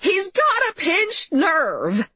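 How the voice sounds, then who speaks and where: A woman's voice: extremely high pitch, huge pitch swings, no echo, lo-fi sound, pitched above the performer's own voice, heavily acted. A woman voicing an older mother in an audiobook, frantic and protective, wailing and crying out in protest. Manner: shouting, pleading, drawn-out cries.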